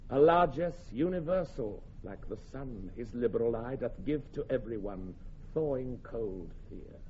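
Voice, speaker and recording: A man playing a solemn Chorus narrator, speaking Shakespearean verse in a measured, declamatory way with dramatic swells and hushes. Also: medium pitch, animated, close to an everyday voice. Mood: stirring. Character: solemn Chorus narrator setting a night before battle. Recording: dull, faintly hissy recording.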